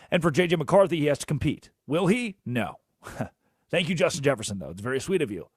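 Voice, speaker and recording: Lively, animated male sports radio host. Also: on the high side, no echo, studio quality. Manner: animated, jokey, rambling asides. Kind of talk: energetic sports talk radio. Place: radio studio. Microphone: studio microphone.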